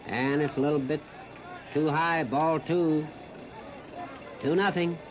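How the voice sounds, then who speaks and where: An American man calling a baseball game live as a 1930s radio play-by-play announcer, narrating each moment in short, clipped phrases with pauses. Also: on the high side, steady tone. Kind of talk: 1939 live radio sports commentary. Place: outdoor ballpark, broadcast position behind home plate. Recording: muffled, noisy 1930s radio disc recording.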